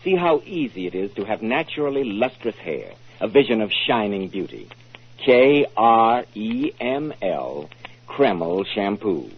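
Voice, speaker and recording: A man's voice: on the low side, sing-song, unhurried, breathy edge. An American man announcing on 1940s radio with a polished, emphatic sales delivery. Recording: muffled 1940s radio recording.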